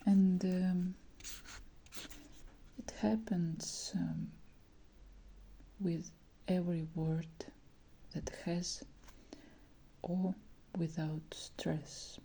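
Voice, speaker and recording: Woman, whispered ASMR lesson, very breathy, mellow low tone. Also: level tone, poor audio. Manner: slow, soft, hesitant with many fillers. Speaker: Russian language teacher.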